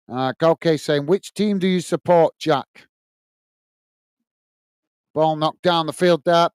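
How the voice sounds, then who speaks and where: A man's voice: a little high, smooth, dry recording, clean studio sound. A man with a strong Lancashire accent hosting a live football watch-along, calling the play and reading out viewer comments in a chatty way with lots of "uh"s. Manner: chatty, reads out chat messages with filler "uh".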